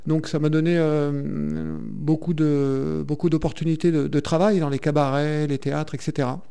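A man talks slowly through his career on a radio show, often pausing with fillers and restarting his sentences. His voice is fairly high for a man, gravelly yet resonant, with a dark, mellow tone. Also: little pitch movement. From France. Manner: hesitant, many fillers and restarts. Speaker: singer guest. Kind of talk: radio interview chat.

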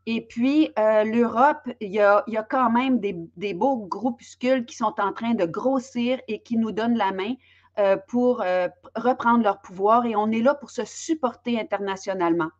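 A woman's voice: a little high, normal speed. A woman from Belgium hosting an online interview, speaking with frequent fillers and restarts as she introduces the topic and her guests. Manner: hesitant, many fillers and restarts. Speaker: host and interviewer. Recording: slightly dull lo-fi audio.

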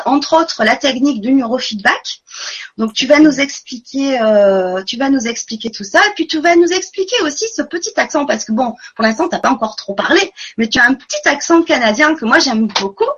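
A woman's voice: high-pitched, a bit bright, slightly rough, highs cut off. A woman hosting a live online conference, speaking earnestly and repeating words for emphasis. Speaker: webinar host.

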